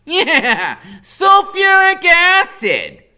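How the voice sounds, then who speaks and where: Man doing a high, bold, brainy little boy. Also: huge pitch swings, very slow, pushed and bright, heavily acted. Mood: smug, bluffing. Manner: bold, theatrical, cocky. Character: brave, brainy, fierce little boy bluffing a villain. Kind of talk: dramatic audiobook character reading.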